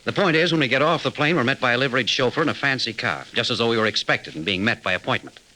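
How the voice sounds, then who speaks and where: An actor playing a level-headed American adventurer and leader, clipped and commanding in a 1940s radio drama. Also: male voice, mid-range voice, lively intonation, very fast, sharp tone. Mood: skeptical. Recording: noisy old 1940s radio recording.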